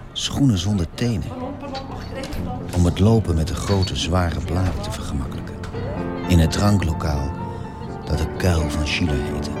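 An actor playing a man wistfully looking back on his childhood, narrating a radio drama in measured, reflective fragments. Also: low-pitched. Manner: measured, reminiscing in short fragments. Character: man wistfully recalling his early twentieth-century childhood. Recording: prominent music behind the voice.

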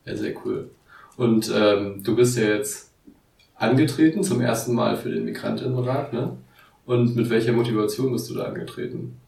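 A man in his twenties hosting a podcast, asking relaxed, friendly questions with fillers. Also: medium pitch, moderate pace, vocal fry. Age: 20s. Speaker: podcast host. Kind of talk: casual interview. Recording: roomy echo, mic at a distance.

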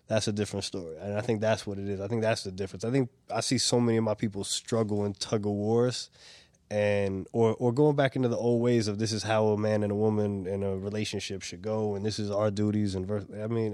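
A middle-aged man from San Francisco, talking as a guest in a relaxed interview, loose and profane with lots of "like" and "you know.". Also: on the low side, dry recording. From San Francisco, USA. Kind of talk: casual interview chat.

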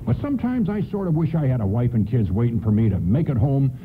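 A man's voice: mid-range voice, big pitch swings, fast, close to an everyday voice. An older man acting in a nostalgic radio play as a grumbling, wistful department store Santa who insistently repeats himself. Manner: repeats phrases insistently, folksy bickering. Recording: lo-fi hissy radio play recording.

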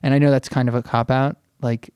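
A man's voice: mid-range voice, little pitch movement, fairly quick, darkish tone, clear, resonant tone, dry recording. Informal man hosting a podcast, dry close sound. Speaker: podcast host. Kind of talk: casual discussion of art.

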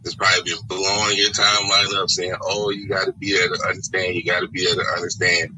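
A man from Atlanta with an Atlanta Southern accent, a podcast guest talking in a rambling, animated way and laughing often. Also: low-pitched, fast talker. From Atlanta, Georgia. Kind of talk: casual storytelling chat. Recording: phone call with faint background noise.

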